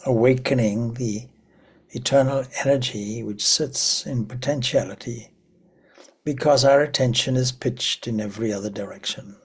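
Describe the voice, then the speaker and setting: A man leading a guided meditation in a slow, prayerful way, letting phrases trail off into soft whispers. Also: medium pitch, husky. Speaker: meditation teacher.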